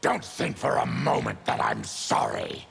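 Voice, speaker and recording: A proud, bitter mutant warrior from a fighting game. The voice is a heavily gravelled snarl, sharp and forceful, swinging widely in pitch. Every line is deliberate and threatening, with faint noise behind it. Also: male voice, wildly swooping pitch, exaggerated voice. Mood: defiant, bitter. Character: savage diseased mutant warrior, proud bitter former soldier. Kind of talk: video game fighting character dialogue.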